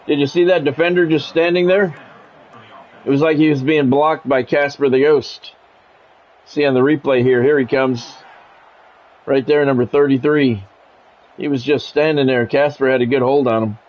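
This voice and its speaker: An American man doing sports play-by-play for a video game stream, mixing game calls with relaxed, casual chat to viewers. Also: mid-range voice, normal speed, pauses often. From United States. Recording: dull lo-fi audio with faint noise.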